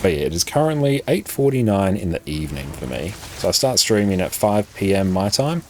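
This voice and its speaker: A young Australian man with a soft, slightly British-sounding accent, chatting casually and reading chat aloud during a live game stream. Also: fairly low pitch, brisk, a little hiss or hum. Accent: Australian, described as a softer, British-sounding one. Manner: relaxed, friendly, chatty banter. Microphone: desk streaming microphone.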